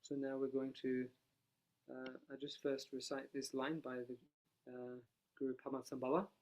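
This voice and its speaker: Soft-spoken monk guiding a meditation. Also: male voice, mid-range voice, level tone, a little hiss or hum, poor audio. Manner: soft-spoken, quiet, unhurried. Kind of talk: guided analytical meditation. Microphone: no dedicated microphone.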